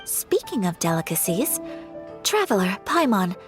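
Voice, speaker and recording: A woman's voice: mid-range voice, very animated, measured pace, soft background music. A woman voicing a graceful, polite young noblewoman in a game, speaking formally and courteously. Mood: gentle.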